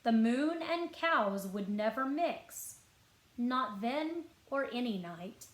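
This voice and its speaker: Slow-reading woman storyteller in an echoey room. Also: fairly high pitch. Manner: expressive storytelling, clear deliberate phrasing, asks listeners questions. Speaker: school librarian. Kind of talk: picture-book read-aloud to children. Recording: roomy echo.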